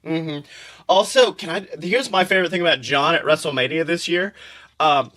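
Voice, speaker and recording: A man with a high, bright voice co-hosts a casual comedy podcast. He tells stories in an animated, profane way, slipping into acted-out quotes as he goes. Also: moderate pace. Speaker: podcast co-host.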